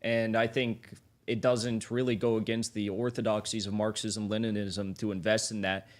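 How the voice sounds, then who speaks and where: Man, somewhat low voice, livestream guest, hesitant, restarts phrases. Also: level tone, normal speed. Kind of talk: political debate on a livestream.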